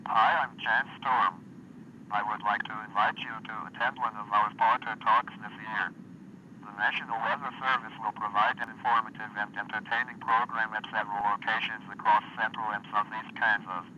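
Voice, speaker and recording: A computer-synthesized man reads the weather on an automated radio service, each word set down clipped and even. The voice sits somewhat low but has a hard, very bright edge. It comes through a dull, lo-fi radio recording with a faint hiss behind it. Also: normal speed. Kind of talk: automated weather broadcast reading.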